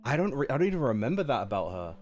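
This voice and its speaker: Male streamer, mid-pitched, casual and slangy, reacting live to a game, faint guitar music underneath. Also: very animated, very fast, a little mellow. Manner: slangy, jokey asides.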